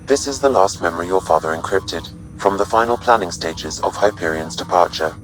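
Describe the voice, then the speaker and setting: An actor playing a calm, dutiful artificial intelligence in a video game, precise, formal and composed. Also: male voice, sits low, fairly quick, a little hiss or hum, low-quality recording. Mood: calm, informative. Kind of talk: video game dramatic acting.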